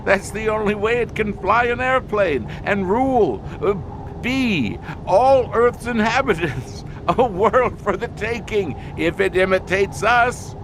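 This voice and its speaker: An actor playing an unhinged, paranoid man in an audio drama, talking in halting phrases broken by manic laughter. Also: lively intonation, full character voice. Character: unhinged man gripped by paranoid terror of an imitating monster. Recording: constant noisy rumble behind the voice.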